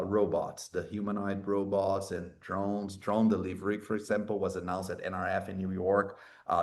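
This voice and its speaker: A man who is a native Portuguese speaker, explaining his points conversationally as an interview guest. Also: on the low side. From Brazil or another developing Portuguese-speaking country. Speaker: guest expert and author.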